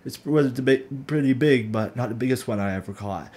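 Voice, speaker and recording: A young man talks casually as a video host, in a mid-pitched voice. His delivery is halting, with words repeated as he finds his way. He sounds like he is chatting to viewers from a small room. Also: darkish tone. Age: young adult. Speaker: fishing vlogger and host. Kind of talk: casual chat to camera. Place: small bedroom indoors. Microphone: camera at arm's length.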